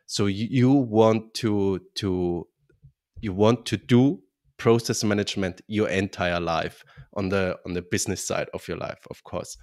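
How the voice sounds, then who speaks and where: Casting: Austrian man, lowish voice, guest on a podcast, halting with restarts. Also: darkish tone. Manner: halting, many restarts and fillers. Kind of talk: podcast interview.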